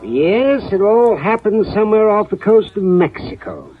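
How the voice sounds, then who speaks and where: A man's voice: high voice, sing-song, normal speed, faint music behind. An actor playing a fussy, chatty male insurance man who rambles and repeats himself, in a 1950s radio drama. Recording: tinny, narrow old radio recording.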